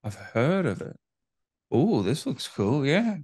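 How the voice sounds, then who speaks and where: Casual man interviewing, medium pitch. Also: sing-song, takes it slowly, a little mellow, husky, dry recording, clean studio sound. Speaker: interviewer, gaming channel host.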